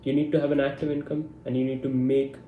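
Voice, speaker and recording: A man's voice: mid-range voice, little pitch movement, fast, narrow, tinny audio. A man in his twenties giving a blunt, confident monologue to camera. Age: 20s. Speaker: vlogger. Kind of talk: casual talking-head monologue. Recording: echoey room with faint hum.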